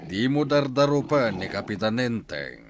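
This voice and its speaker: An actor playing a wealthy older man who keeps a pet lion, speaking slowly and with commanding amusement. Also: mid-range voice, very animated, a little hiss or hum, natural voice. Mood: amused. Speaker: radio drama actor.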